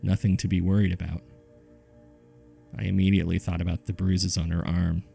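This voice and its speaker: A man with a gravelly, husky voice reading scripted horror case files in a measured, subdued way, as narrator and host. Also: deep voice, flat delivery, dark tone, soft background music.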